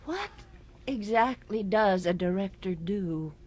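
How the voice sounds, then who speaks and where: A woman's voice: medium pitch, lively intonation, slow, darkish tone. An actress playing a haughty, imperious older society woman in a radio drama. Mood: curious, blunt. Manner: imperious, disdainful.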